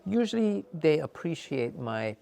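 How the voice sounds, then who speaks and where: A man giving a measured, earnest talk as a counselor, answering questions with many fillers and pauses. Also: on the high side, very animated, creaky, mellow.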